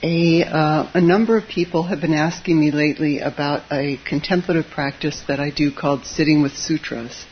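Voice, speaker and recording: A soft-voiced Zen teacher speaks to a gathered audience in a meditation hall, often pausing on fillers and slipping in dry little jokes. The voice sits at a middle pitch and drifts quiet and low. The recording is dull and lo-fi, with a faint hiss underneath. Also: fairly even intonation. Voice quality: soft voice that tends to drop low. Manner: hesitant, with frequent fillers and wry asides. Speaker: Zen teacher giving a dharma talk. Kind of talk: gentle, informal dharma talk.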